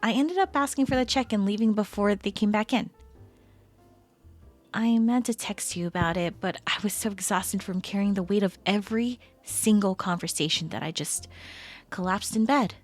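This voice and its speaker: A woman playing a chatty, teasing female best friend in casual, animated roleplay audio. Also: mid-range voice, moderate pace, faint music behind, lightly acted. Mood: exasperated, chatty.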